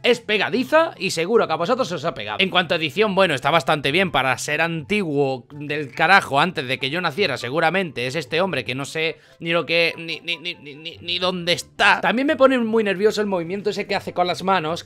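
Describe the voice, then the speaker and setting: A man's voice: a little high, expressive, brisk, hardly any pauses, pushed and bright. A man in his twenties with an accent from Spain, doing casual, jokey reaction commentary as a YouTuber and repeating words for effect. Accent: Spanish (Spain). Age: 20s. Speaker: YouTuber host. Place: small bedroom, indoors. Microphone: desk condenser microphone, close.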